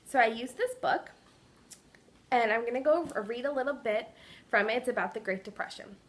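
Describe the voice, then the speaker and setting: A woman giving a dramatic reading of a children's story, presenting it like a student with expressive, deliberate delivery. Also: on the high side.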